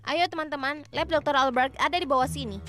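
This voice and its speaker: A woman voicing a brisk, inquisitive young female sleuth in a cartoon dub. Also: high voice, over music, lightly acted. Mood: brisk, curious.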